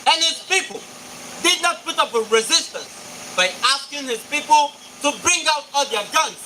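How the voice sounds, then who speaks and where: A pompous, condescending British colonial envoy played by a man on stage, very high-pitched and swinging widely in tone. He declaims in deliberate short phrases over a noisy live recording. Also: expressive. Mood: affronted. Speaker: stage actor.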